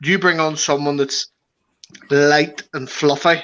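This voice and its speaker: A man with a Belfast accent chatting as a podcast guest, lively and laughing as he talks. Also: a little high, takes it slowly, highs cut off. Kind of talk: casual chat.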